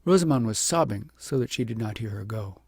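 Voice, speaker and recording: A man with a gravelly, husky voice narrating a storybook in a measured, deliberate and expressive way. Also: lowish voice, no room sound, studio quality. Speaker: audiobook narrator.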